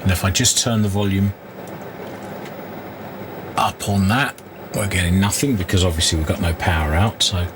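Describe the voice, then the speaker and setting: A man giving an unscripted, halting workshop commentary, thinking aloud as he works at a repair bench. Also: sits low. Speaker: hobbyist radio repairer and video host. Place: indoor repair workbench. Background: loud noise, radio and test-tone sounds.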